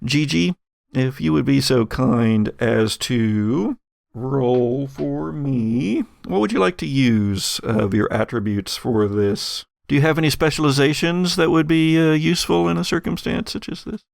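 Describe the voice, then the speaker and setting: Male game master, gravelly and dry-recorded, halting but careful storyteller for tabletop play. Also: mid-range voice, no room sound. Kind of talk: narrating an actual-play tabletop roleplaying game.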